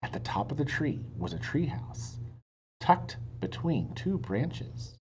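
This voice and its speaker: Low-voiced woman reading, highly animated. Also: slow. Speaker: read-aloud teacher for children. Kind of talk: expressive children's storybook narration. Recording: muffled sound with faint noise.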